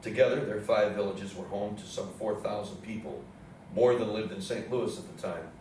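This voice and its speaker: Echoey, low-voiced man narrating history. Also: moderate pace, darkish tone. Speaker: narrator in a readers theatre play. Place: indoor theatre room with audience program. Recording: echoey hall, distant mic, faint noise.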